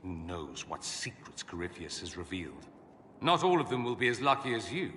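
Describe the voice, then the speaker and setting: An actor playing a witty, self-assured mage scholar in a video game, with an arch, sardonic, eloquent way of talking. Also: male voice, deep voice, moderate pace, fairly bright tone, slight background noise. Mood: concerned, urgent. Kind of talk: video game cutscene acting. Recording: long hall reverb.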